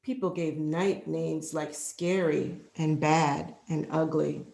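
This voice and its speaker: A woman reading a children's picture book aloud in an expressive, deliberate storytelling manner. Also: low voice, gravelly. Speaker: storybook reader, library executive. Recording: roomy, echoing sound.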